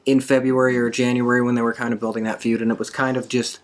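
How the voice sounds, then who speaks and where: A man's voice: medium pitch, level tone, quick pace. A man hosting a casual, conversational discussion show about sports entertainment. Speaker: podcast host.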